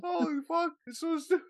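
A man's voice: very high-pitched, vocal fry, no room sound, studio quality. A man joking around casually and profanely with friends as a comic podcast co-host. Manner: silly, repetitive, profane.

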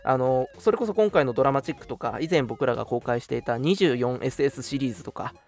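A man's voice: mid-range voice, animated, fast talker, darkish tone, soft background music. A man hosting a casual radio talk show, making announcements in polite phrasing mixed with friendly banter. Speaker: radio show host and scriptwriter.

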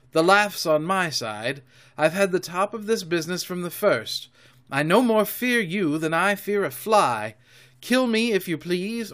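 Man playing a defiant, high-spirited boy adventurer. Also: a bit bright. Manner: impassioned, emphatic declaiming. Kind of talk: audiobook character voice.

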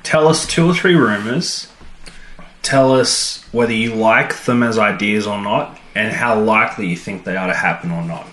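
A man's voice: fairly low pitch, moderate pace, fairly bright tone. A man co-hosting a casual podcast, reacting and asking questions in a dry, sceptical, wry manner. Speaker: podcast co-host, the fool. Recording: roomy echo, faint music behind.

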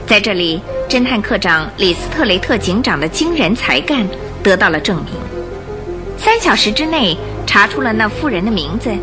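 A woman's voice: mid-range voice, big pitch swings, normal speed, music in the background. A woman narrating a radio drama, reading scripted story and news reports.

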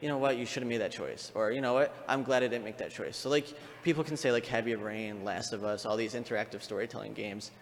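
A man's voice: on the low side, fast, few pauses. Man at a mic in a noisy echoing hall. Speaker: audience member at a panel Q&A, playwright and screenwriter. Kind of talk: spoken audience comment at a live panel. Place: large convention panel room, on audience microphone. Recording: echoey hall microphone with background noise.

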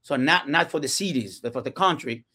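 A man's voice: a little high, a little fast, pushed and bright. A man from Cuba with a Cuban Spanish accent, speaking English as a second language, telling his story earnestly as a podcast guest and often restarting his sentences. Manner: earnest, with frequent restarts and non-native grammar. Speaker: guest, real estate entrepreneur. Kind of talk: casual podcast interview. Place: podcast studio.

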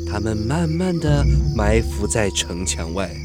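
A man's voice: lowish voice, sing-song, measured pace, over loud music. A man narrating a scripted drama as a dramatic storyteller.

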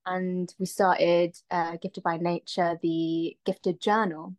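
Guest singer, woman in her thirties, slightly low voice, thoughtful and rambling delivery. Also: level tone, slow, a little mellow. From Filipina-Sri Lankan, raised in the UK. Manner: reflective, lots of "um" and "like". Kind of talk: casual interview conversation.

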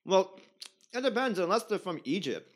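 Animated man, a native French speaker. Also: high voice, normal speed. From Canada. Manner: joking, rambling with many fillers. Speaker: podcast co-host. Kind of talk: casual podcast chat.

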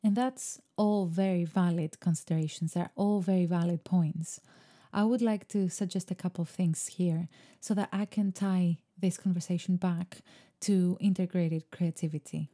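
A woman whose first language is Greek, speaking in a slow, meditative, musing way about creativity. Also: lowish voice, steady tone, mellow. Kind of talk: reflective spoken monologue.

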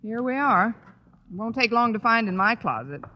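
A woman's voice: a little high, lively intonation, moderate pace, long reverb tail, close to an everyday voice. A woman playing a sardonic English teacher with dry, wisecracking delivery in a 1940s radio sitcom. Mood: wry. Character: wry, sardonic single female English teacher. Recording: noisy, muffled, hollow old radio broadcast.

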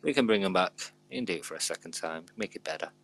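Deep-voiced British man, blokey live host. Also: lively intonation, fast talker, vocal fry, fairly bright tone, soft background music, rough recording. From Britain. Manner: sweary, blokey, jokey. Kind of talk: casual banter on a live community show.

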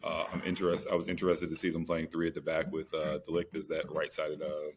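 A man's voice: a bass voice, monotone, fast, husky. A man co-hosting a sports podcast, giving casual analysis in slangy, informal speech with repeated phrases.

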